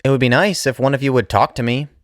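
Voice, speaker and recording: A man's voice: mid-range voice, fairly even intonation, fast talker, no echo, studio quality, pitched above the performer's own voice, lightly acted. A man voicing a put-upon mother with a wounded, complaining manner. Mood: hurt, resigned.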